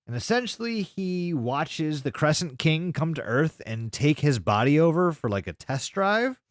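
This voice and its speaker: Animated, sweary man recapping a story. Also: fairly high pitch, moderate pace, no room sound, studio quality. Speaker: podcast co-host. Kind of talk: casual podcast recap, retelling a video's story.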